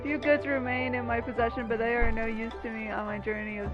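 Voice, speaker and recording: A woman's voice: on the high side, flat delivery, brisk, highs cut off. A woman giving casual, informal, reactive commentary over a game playthrough. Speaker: gaming video commentator. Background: game music.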